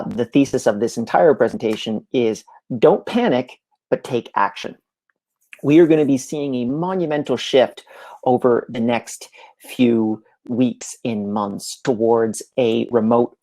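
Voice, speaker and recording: Gravelly Canadian man giving a talk. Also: mid-range voice, poor audio. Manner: energetic, conversational, frequent "uh" fillers. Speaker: conference presenter. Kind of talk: live online presentation.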